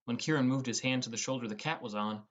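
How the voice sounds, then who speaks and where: A man narrates a first-person audiobook story. His voice sits at a middle pitch, delivering scripted prose. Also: fast talker.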